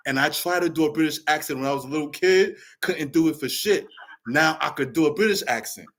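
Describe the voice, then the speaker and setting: Comedian guy hosting, a bit high-pitched, chatty and animated. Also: brisk. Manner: animated and chatty, laughs easily. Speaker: host and stand-up comedian. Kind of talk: casual interview chat.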